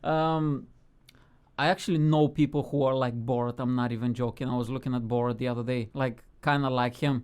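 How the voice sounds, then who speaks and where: A man's voice: medium pitch, fairly even intonation, a little fast, a little mellow, clean tone. A young man from Bulgaria with a Bulgarian accent, a comedian guest chatting playfully on a radio show and laughing often. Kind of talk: casual radio interview chat.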